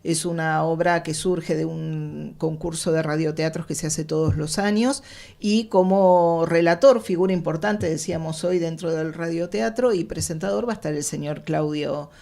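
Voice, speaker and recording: A woman's voice: low voice, moderate pace, vocal fry, mellow. A woman with an Argentine accent, chatting casually as a radio drama writer being interviewed.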